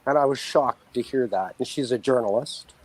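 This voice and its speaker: A man's voice: mid-range voice, moderate pace, darkish tone, slightly rough, rough recording. A man from Canada, a guest in an interview and the son of a murder victim, talking bluntly and emphatically. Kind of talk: casual interview talk.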